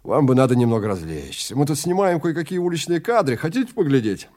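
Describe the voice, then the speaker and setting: A man acting a hearty, sociable film director in an audio drama, loud and lively, inviting people along. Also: medium pitch, fast, voice pushed up, natural voice.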